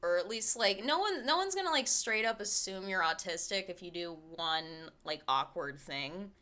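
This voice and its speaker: A woman streamer chatting candidly with viewers, swearing freely and laughing often, with a small light voice that carries little bass. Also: lively intonation, moderate pace, pushed and bright. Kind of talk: casual chat with stream viewers.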